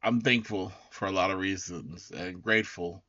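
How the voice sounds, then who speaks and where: A man hosting his own podcast in a loose, rambling way, his voice raspy as it recovers. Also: sits low, fairly even intonation, normal speed, sharp tone, no room sound, muffled recording. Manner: loose, rambling, full of fillers and restarts. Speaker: podcast host. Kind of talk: casual solo recap talk.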